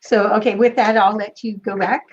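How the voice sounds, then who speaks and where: A woman hosting over a video call, enthusiastic and halting as she introduces her guest. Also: medium pitch, fairly even intonation, lo-fi sound. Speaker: host. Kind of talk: casual video call chat.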